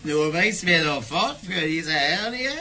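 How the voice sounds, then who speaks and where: An actor playing a tipsy, jovial town mayor in a comic, drawn-out manner for an audio play. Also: male voice, fairly high pitch, lively intonation, bright, forceful tone, exaggerated voice. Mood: tipsy, pleading.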